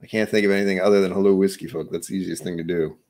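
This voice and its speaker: A man's voice: sits low, fairly even intonation, very fast, darkish tone. A man in his thirties chatting casually on a home livestream, rambling and self-mocking, sometimes trying out joke accents. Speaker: streamer. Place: home room with shelves of bottles.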